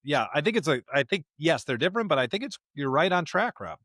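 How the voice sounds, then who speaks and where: A man's voice: fairly high pitch, big pitch swings, fast, fairly bright tone, no echo, studio quality. A man co-hosting a conversational podcast discussion of scripture, speaking earnestly and repeating phrases for emphasis. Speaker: podcast co-host.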